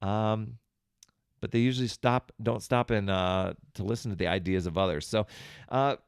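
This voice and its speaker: A man's voice: on the low side, moderate pace, creaky, clear, resonant tone, no echo, studio quality. A man hosting a self-help podcast, talking conversationally and encouragingly straight to the listener. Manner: conversational, talks directly to the listener; encouraging, coaching. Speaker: podcast host.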